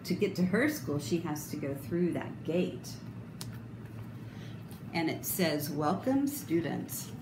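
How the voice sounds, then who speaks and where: A woman in her fifties reading aloud to children expressively, with deliberate, dramatic emphasis. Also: low-pitched. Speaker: storybook reader. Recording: roomy, noticeable background noise.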